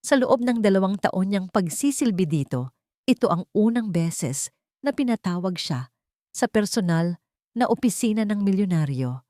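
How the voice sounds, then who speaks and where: A woman's voice: on the low side, mellow, dry recording, clean studio sound. A woman narrator reading a scripted love story in a dramatic storytelling style.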